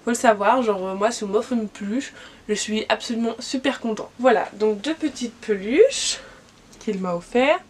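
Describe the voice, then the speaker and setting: A woman's voice: fairly high pitch, moderate pace, slightly rough. A young woman with a cold, chatting casually in a vlog and showing her gifts, with lots of fillers and restarts. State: has a cold. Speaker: vlogger. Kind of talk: casual gift haul vlog.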